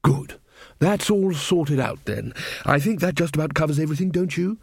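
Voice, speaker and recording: A man's voice: fairly high pitch, expressive, moderate pace, creaky, husky, dry recording, voice pushed up, heavily acted. A man voicing an earnest, pedantic young king who stammers and lectures cheerfully. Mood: earnest, fussy. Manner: pedantic, self-satisfied, stammering.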